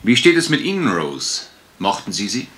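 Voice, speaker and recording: An actor playing a politely relentless English gentleman detective in a German radio crime drama, questioning with insinuation. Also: lowish voice, huge pitch swings, normal speed, full character voice. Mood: insinuating, pressing.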